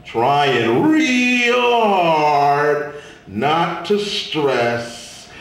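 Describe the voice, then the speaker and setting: A man performing spoken word poetry as a weary, sassy, folksy Statue of Liberty, preaching in dramatic rhymes with drawn-out words. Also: high voice, gravelly, fairly bright tone, heavily acted. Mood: weary, playful. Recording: echoey room, distant mic, faint noise.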